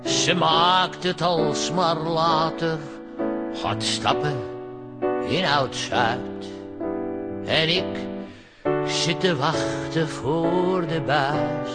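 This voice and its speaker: A man's voice: fairly high pitch, very slow, long pauses. A man performing as a wistful, protective father of a grown-up daughter, in a storytelling song. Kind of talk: narrative song with piano accompaniment. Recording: loud piano accompaniment under voice. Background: piano music.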